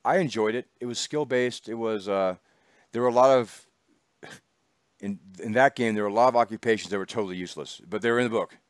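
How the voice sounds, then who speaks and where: A man's voice: fairly low pitch, fast talker, smooth, no room sound, clean studio sound. A man hosting a casual chat show, rambling and laughing as he talks. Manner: chatty, rambling, laughs often. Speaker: host. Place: small studio.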